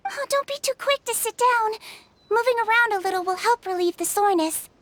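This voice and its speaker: An actress voicing a small, gentle female creature who works as a nurse, speaking in a soft, patient, matter-of-fact way. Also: very high-pitched, a little fast. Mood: caring. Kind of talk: video game voice acting.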